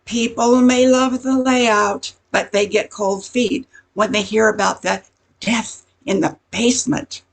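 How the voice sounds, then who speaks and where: An older woman playing a nosy, gossiping neighborhood busybody in an amateur radio comedy, chatty and insinuating with a husky, creaky voice. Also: on the high side, fairly even intonation, unhurried. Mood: confiding, insinuating.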